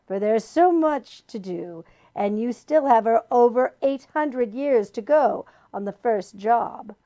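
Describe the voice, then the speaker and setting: A woman voicing a scheming male demon of petty tasks, who shrieks triumphantly and then coaxes in a soft, deceitful whisper. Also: a little high, animated, slow, dull audio. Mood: triumphant menacing.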